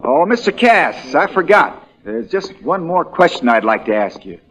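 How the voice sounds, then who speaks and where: An actor playing a shrewd, folksy American country lawyer, relentless in questioning, in the style of 1940s radio drama. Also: male voice, a little high, sing-song, moderate pace, noisy background. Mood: dry, folksy. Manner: drawling folksy understatement that builds into hammering accusation.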